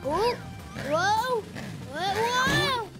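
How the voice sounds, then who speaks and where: An eager little boy motorcycle from a children's cartoon, with a very high, childlike voice. The lines are exclamatory and drawn out, over loud music. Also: animated, very slow, full character voice. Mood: excited. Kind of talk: animated children's cartoon dub.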